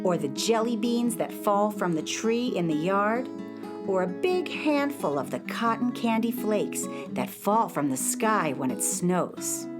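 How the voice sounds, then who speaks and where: A woman narrating a scripted children's story with an expressive storybook delivery. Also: fairly high pitch. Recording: guitar music behind the voice.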